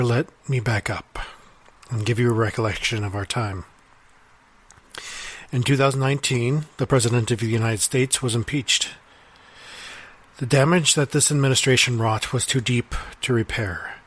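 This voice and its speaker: An actor playing a remorseful male government scientist, delivering a confession in a measured, formal and weary way. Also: medium pitch, steady tone, fairly bright tone, breathy edge. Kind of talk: audio drama monologue.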